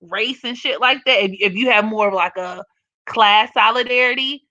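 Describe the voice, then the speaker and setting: A young woman with a raspy voice hosting a casual live stream, reading comments and giving blunt, profane opinions with frequent laughs. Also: fairly high pitch, normal speed, sharp tone, dry recording, dull audio.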